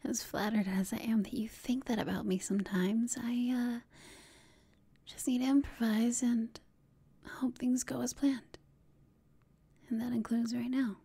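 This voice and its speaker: Woman acting a breathy, whispering flirty villainess. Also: a little high, fairly even intonation, unhurried, long pauses, dark tone, dry recording. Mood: flirty, confiding. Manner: teasing, coaxing, intimate. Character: flirtatious, teasing female villain, confident yet secretly inexperienced. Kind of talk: whispered ASMR roleplay. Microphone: close mic, dry.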